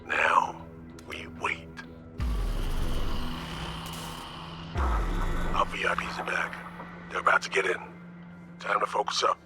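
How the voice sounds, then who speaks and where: An actor playing a composed, watchful veteran spy handler in a video game, giving measured, businesslike instructions. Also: male voice, sits low, expressive, over music. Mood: tense, focused. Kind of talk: cinematic video game acting.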